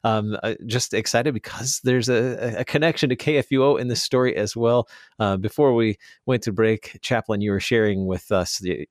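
A man's voice: lowish voice, no room sound, studio quality. An American man hosting a radio interview conversationally, repeating words as he frames his thoughts. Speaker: radio show host.

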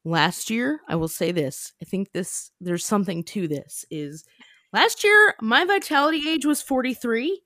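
A woman's voice: a little high, lively intonation, measured pace, sharp tone, no echo. Young woman podcast host, chatty and slangy, playful, super dry close sound. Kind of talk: casual explanatory podcast chat.

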